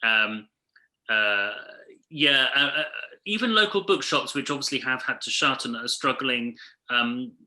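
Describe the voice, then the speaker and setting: A man's voice: mid-range voice, unhurried, sharp tone, rough recording. A man speaking informally as a panel guest on a video call, with hesitant, stop-start phrasing. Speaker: panel speaker, arts editor and book prize judge.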